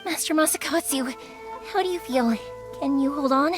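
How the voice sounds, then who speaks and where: A woman's voice: high-pitched, normal speed, natural voice. A woman playing a spirited, caring young woman in an anime-style video game. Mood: concerned. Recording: music clearly behind the voice.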